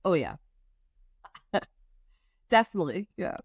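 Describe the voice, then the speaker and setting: A woman from Germany, a guest on an interview podcast, chatting in a quick, friendly way and explaining at length. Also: on the low side, very animated, slightly rough, no room sound, clean studio sound. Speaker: venture capital guest. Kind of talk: casual interview chat.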